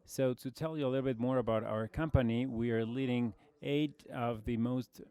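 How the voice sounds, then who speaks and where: A man in his forties from Brazil with a Brazilian Portuguese accent, giving a measured conference presentation with frequent fillers. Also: mid-range voice, fairly even intonation, warm tone, smooth. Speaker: conference speaker, logistics executive.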